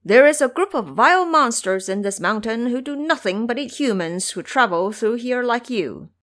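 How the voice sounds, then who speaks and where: A woman voicing a rustic male woodcutter in an audio drama, calling out loudly and urgently. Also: a little high, expressive, moderate pace. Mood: urgent warning. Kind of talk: audio drama character acting.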